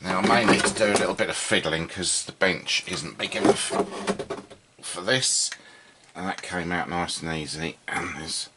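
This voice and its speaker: A man's voice: low voice, moderate pace, very noisy. A man giving a casual, unscripted hands-on demonstration, understated and hesitant as he thinks aloud. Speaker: host of a hobby radio repair video. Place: indoors at a workbench.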